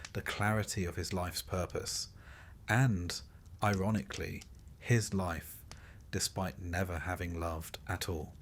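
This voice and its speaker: A man narrating a scripted bedtime story in a measured way, with dry, wry understatement. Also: sits low, slight background noise.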